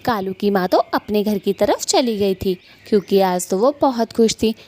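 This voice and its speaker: A woman narrating a cartoon story in a plain, steady storytelling manner. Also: mid-range voice, normal speed.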